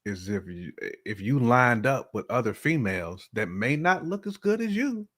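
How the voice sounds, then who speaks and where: A man's voice: medium pitch, sing-song, normal speed, fairly bright tone. An American man co-hosting a casual, opinionated chat, speaking bluntly in slang and repeating phrases for emphasis. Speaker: podcast co-host.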